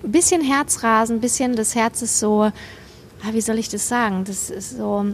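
A woman's voice: fairly high pitch, normal speed, a little hiss or hum. A woman hosting a podcast, talking enthusiastically and emphatically in casual slang. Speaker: podcast host.

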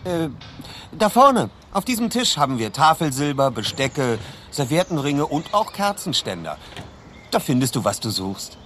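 A man's voice: fairly high pitch, sing-song, normal speed, fairly bright tone, slight background noise. An actor playing a composed, observant young male detective in a radio play. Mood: measured, alert.

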